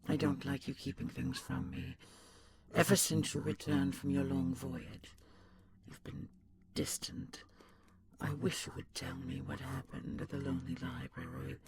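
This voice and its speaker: An ancient dragon, played by a man, speaks with heavy creak in a slow, halting, intimate murmur. The voice is secretive yet tender, in fantasy audio drama style, with faint noise behind it. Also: medium pitch, expressive. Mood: hurt concern. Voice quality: gravelly, often whispery. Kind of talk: intimate audio roleplay acting.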